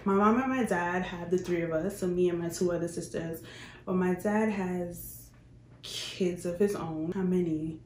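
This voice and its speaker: A woman's voice: lowish voice, unhurried, darkish tone. A young adult woman, chatting casually to viewers as a vlogger answering questions. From Queens, New York, United States. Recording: roomy echo, faint background noise.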